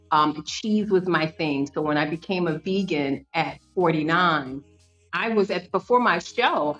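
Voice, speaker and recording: A woman's voice: on the low side, a bit bright, lo-fi sound. A woman in her fifties, a podcast guest, telling her story in an earnest, conversational way with frequent fillers and restarts. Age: 50s. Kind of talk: conversational interview.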